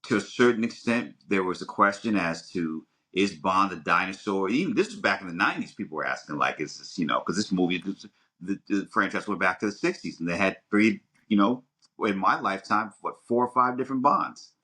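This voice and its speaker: A man's voice: low voice, lively intonation, a little fast, bright, forceful tone, breathy edge. A middle-aged man co-hosting a podcast, talking casually and animatedly, often repeating words in bursts as he works out a thought. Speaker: podcast co-host. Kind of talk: casual conversational commentary.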